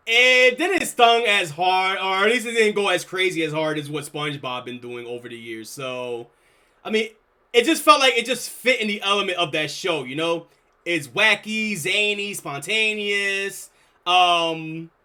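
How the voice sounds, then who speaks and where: Young guy streamer reacting, cursing a lot, super bright voice, kinda high. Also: unhurried. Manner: casual, swears a lot, excitable. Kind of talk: live reaction stream chat.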